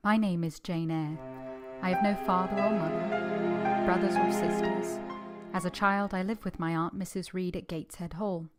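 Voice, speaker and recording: A woman's voice: lowish voice, fairly even intonation, normal speed, music in the background, natural voice. A woman playing a plain-spoken, defiant orphan girl who tells her own story in a vintage radio drama. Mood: sober, narrating.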